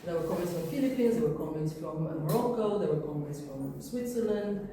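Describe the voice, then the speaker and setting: A woman's voice: fairly low pitch, fairly quick, distant mic, slight background noise. Woman talking in an echoey room. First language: Persian. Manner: relaxed, explains with many fillers. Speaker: workshop speaker. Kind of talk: informal workshop talk. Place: workshop room.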